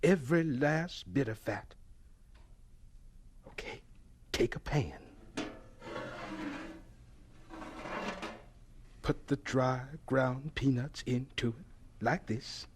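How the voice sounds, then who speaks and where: An actor playing a devout, humble elderly scientist in a radio drama, husky and deliberate, absorbed in talking with God. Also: male voice, mid-range voice, background noise, lightly acted. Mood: absorbed, hushed.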